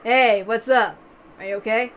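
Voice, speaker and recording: Casting: woman playing a calm, dismissive young guy in a mystery, bright-toned, casual and unruffled. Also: on the high side, moderate pace, a little hiss or hum, natural voice. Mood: concerned.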